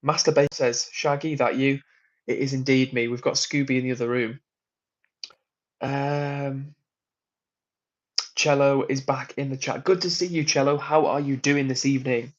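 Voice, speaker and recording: A man's voice: medium pitch, fairly even intonation, normal speed, pauses often, lo-fi sound. Chatty man reading stream chat. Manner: chatty, rambling, friendly to chat. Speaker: livestream host. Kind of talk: casual livestream chat reading comments. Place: hotel room.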